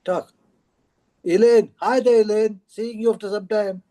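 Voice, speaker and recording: Male discussion host, high pitch, mellow tone, informal, encouraging prompts. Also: fairly even intonation, a little slow. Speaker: host of book club discussion. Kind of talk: informal moderating of a group call.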